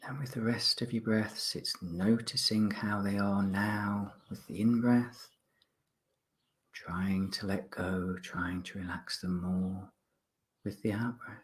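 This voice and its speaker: A man with a fairly low voice guides a body scan meditation as a mindfulness instructor. He gives polite instructions in short phrases, leaving pauses between them. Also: fairly even intonation.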